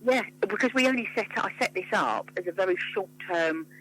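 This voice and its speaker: Bright-voiced, passionate English woman. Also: medium pitch, lively intonation, brisk, slight background noise, low-quality recording. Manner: passionate, emphatic. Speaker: interview guest, mental health charity founder and psychotherapist. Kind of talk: radio interview.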